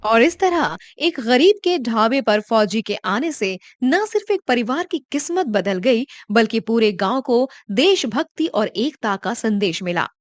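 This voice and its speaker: A woman's voice: a little high, sing-song, a little slow, few pauses, no echo. A woman reading scripted narration for a moral cartoon story as its storyteller.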